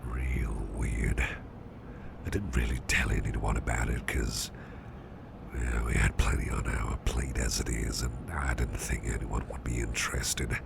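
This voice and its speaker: A man playing a gruff but caring, protective boss in an ASMR roleplay, speaking in a gravelly half-whisper and rambling softly and hesitantly to the listener. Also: a bass voice, fairly even intonation, a little slow, heavily acted. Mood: puzzled, musing. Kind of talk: ASMR roleplay, soft intimate storytelling to the listener. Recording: clearly noisy background hiss or ambience.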